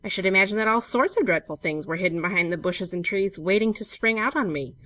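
A woman reading a story aloud, voicing an affectionate, nervy young woman in love with a light, expressive delivery. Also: medium pitch, dry recording, natural voice. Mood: playful dread. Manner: light, expressive, quick to startle.

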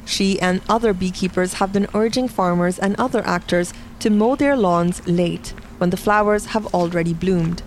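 A woman's voice: on the low side, normal speed, hardly any pauses, slight background noise. A woman reads scripted documentary narration as the narrator of a reportage.

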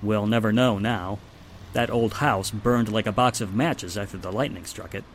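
A man playing a steady, reassuring police inspector in old-time radio drama style, measured and explanatory. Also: fairly low pitch, a little hiss or hum, natural voice. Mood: matter-of-fact.

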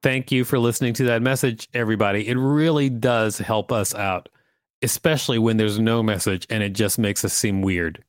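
A man's voice: on the low side, fairly even intonation, normal speed, dry recording, studio quality. A man co-hosting a podcast, reading an article aloud with informal, joking banter and the odd stumble. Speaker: podcast co-host.

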